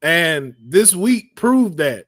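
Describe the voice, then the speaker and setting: A man hosting a casual podcast, raspy and hoarse after losing his voice, joking and laughing as he talks. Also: fairly high pitch, very animated, very slow, a bit bright, no echo, clean studio sound. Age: adult over twenty-two. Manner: playful, joking, laughs often. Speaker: podcast host. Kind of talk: casual chat.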